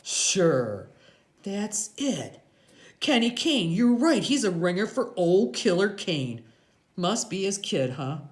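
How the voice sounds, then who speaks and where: A woman's voice: medium pitch, sing-song, slow, pitched above the performer's own voice. A woman voicing a big, tattooed male gang boss in a story, slangy, curt and mocking. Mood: pleased recognition.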